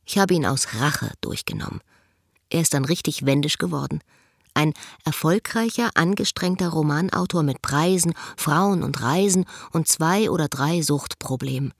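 An actress playing a wistful, guarded middle-aged woman who confides in measured, lyrical lines of a radio drama. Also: low voice, dry recording, studio quality. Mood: dry, bitter. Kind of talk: literary radio drama, first-person monologue and dialogue. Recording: music behind the voice. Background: music.

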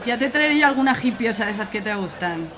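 Radio serial: brassy middle-aged cleaning woman played by an actress, Aragonese, highish voice, teasing grumbler, busy crowd behind. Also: animated, fast talker, a bit bright. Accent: Aragonese Spanish. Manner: salty, colloquial, exclamatory. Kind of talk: radio serial comedy acting.

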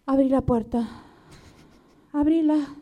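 High, unhurried Argentine voice, acted by a woman, radio drama: plaintive, grumbling, helplessly exasperated. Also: lo-fi sound. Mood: demanding. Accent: Rioplatense Argentine.

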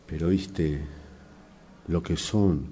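Un actor con acento rioplatense que interpreta en un radioteatro a un jefe engreído y vengativo, de tono sardónico y condescendiente. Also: male voice, sits low, dark tone, slight background noise. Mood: gloating. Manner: sardonic, deliberate, condescending. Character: smug vindictive male boss, former errand boy. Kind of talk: radio drama acting.

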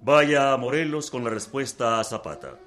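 An actor playing a stern, unyielding president in a radio drama, formal and measured, with a Mexican accent. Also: male voice, mid-range voice, sing-song, a bit bright, soft background music.

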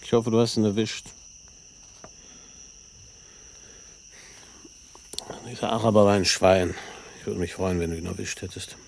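An actor playing a cool, cynical expatriate in a naturalistic radio drama, with a gravelly voice and a laconic, matter-of-fact manner. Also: male voice, fairly low pitch, fairly even intonation, quick pace, darkish tone. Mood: coldly bitter. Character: cool, cynical male expatriate living in Tunisia. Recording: clear background noise of chirping crickets. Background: crickets, outdoor ambience.

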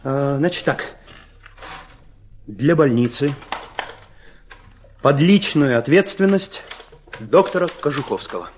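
An actor playing an earnest young police chief of eighteen in a drama, formal and pedantic. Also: male voice, mid-range voice, expressive, slow pace, long pauses, slight background noise. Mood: solemn. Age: young adult. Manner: formal, officious.

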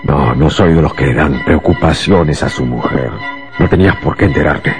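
An actor playing an agitated, self-justifying husband in a radio drama, insistent and theatrical, coaxing and bitter by turns. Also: male voice, a bass voice, moderate pace, music in the background.

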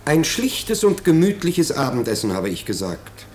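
An actor in a 1950s German radio drama playing a pompous, nervous householder who is eager to please, blustering and stammering when uneasy. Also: male voice, on the high side, sing-song, normal speed. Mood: fussy, bossy.

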